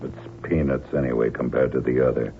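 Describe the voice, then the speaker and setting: Cynical hijacker heavy: rock-bottom voice, blunt folksy slang, tinny vintage radio sound. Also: male voice, extremely low pitch, level tone, fairly quick. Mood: coolly cynical. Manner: folksy, blunt, slangy tough-guy drawling grammar. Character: tough, cynical hired gunman taking charge of a hijacking. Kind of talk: old-time radio drama acting. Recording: tinny band-limited old radio recording, faint noise.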